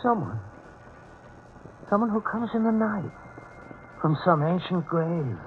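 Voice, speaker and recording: An actor playing a tormented, deranged killer in a 1940s radio horror drama, intense and haunted. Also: male voice, high voice, lively intonation, slow, narrow, tinny audio. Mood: crazed confession. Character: tormented, deranged male killer mourning his love. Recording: thin, band-limited old radio broadcast recording.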